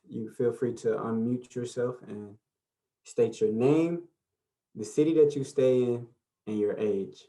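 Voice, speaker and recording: A man's voice: mid-range voice, mellow. A man in his twenties presenting an online workshop, talking in an easygoing way with many fillers over a video call. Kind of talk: relaxed workshop facilitation.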